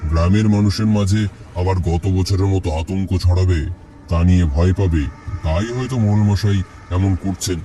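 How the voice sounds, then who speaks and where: Man as low-voiced young village fisherman. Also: fairly even intonation, a little fast, warm tone, slight background noise, natural voice. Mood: confiding. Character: young village fisherman, eager gossip, dismissive of worry. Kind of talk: animated horror story dialogue.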